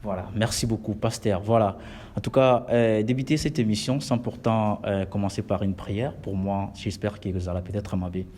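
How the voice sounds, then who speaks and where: A man from Congo hosts an interview inside a church, punchy and emphatic, sliding between French and Lingala. His voice sits fairly low and pushes upward on his greetings and questions. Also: fairly even intonation, normal speed, dark tone. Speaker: web TV interviewer. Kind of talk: lively interview hosting.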